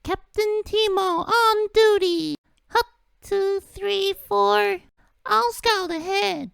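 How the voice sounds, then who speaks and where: A man voicing a tiny, chipper cartoon scout creature from a game, squeaky and eager. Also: steady tone, takes it slowly, creaky, fairly bright tone, dry recording, studio quality, full character voice. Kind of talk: video game character impressions.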